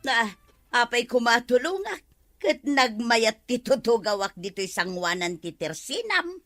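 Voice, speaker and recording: A woman's voice: a little high, big pitch swings, a little slow, fairly bright tone, soft background music. A woman with an Ilocano accent playing a scolding, exasperated village wife in a comedy drama.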